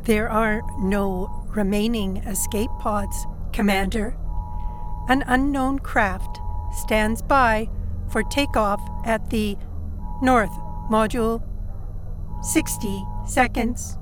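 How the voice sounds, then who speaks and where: A woman playing a formal, impassive ship computer envoy in a science fiction audio drama, delivering deliberate announcements. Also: on the high side, steady tone, music in the background. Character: calm unyielding female ship computer envoy announcing doom. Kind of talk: scripted audio drama acting.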